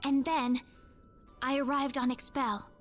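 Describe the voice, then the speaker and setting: An actress playing a gentle, earnest teenage girl, the wistful heroine of a video game. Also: sits high, level tone, measured pace, soft background music. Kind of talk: video game dialogue acting.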